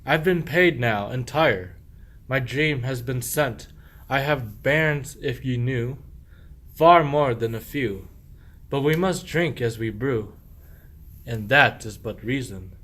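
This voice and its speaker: Guy playing sneaky thieving husband, old-timey rhyming radio play, slow talker, acting all innocent. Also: medium pitch, a bit bright. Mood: falsely hospitable. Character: sly sheep-stealing husband feigning innocence and hospitality. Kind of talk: archaic rhymed verse radio play.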